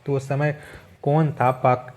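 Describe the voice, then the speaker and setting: A man's voice: mid-range voice, flat delivery, slow. Male teacher drilling quiz questions. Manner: repetitive, asks rhetorical questions and repeats answers. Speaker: exam-prep teacher. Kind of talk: quiz lesson, reading each question then giving the answer.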